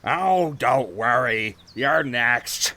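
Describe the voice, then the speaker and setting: A man's voice: medium pitch, expressive, extremely slow, sharp tone, full character voice. A man playing a menacing, gloating male attacker in a horror audio drama, taunting and domineering. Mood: gloating menace.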